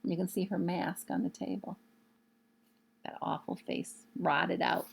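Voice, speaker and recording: A woman reading a children's novel aloud in expressive storytelling narration. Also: fairly low pitch, a little slow, vocal fry, slightly rough. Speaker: storybook reader.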